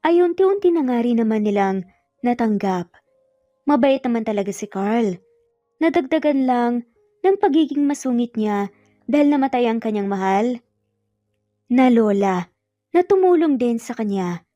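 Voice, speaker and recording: Mellow Filipino woman narrating, muffled audio. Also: fairly high pitch, expressive, moderate pace, long pauses, dry recording. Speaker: storyteller. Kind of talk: scripted dramatic story narration. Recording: dull lo-fi audio, music behind. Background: background music.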